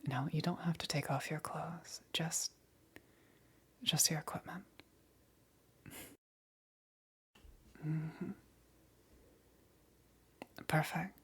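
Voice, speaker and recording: A woman voicing a menacing, teasing demon queen in an ASMR roleplay, with a breathy, whispery voice and a coaxing, softly amused, commanding manner. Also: deep voice, steady tone, takes it slowly, pauses often, heavily acted.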